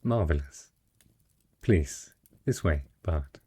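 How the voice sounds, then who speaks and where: Fantasy audio roleplay: male actor as a wealthy dragon in human guise, possessive and courtly, low-pitched, dark mellow tone, eloquent. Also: animated, slow pace, slightly rough, heavily acted. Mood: playful, teasing. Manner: refined, eloquent, effusive. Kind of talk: romantic fantasy audio roleplay addressed to the listener.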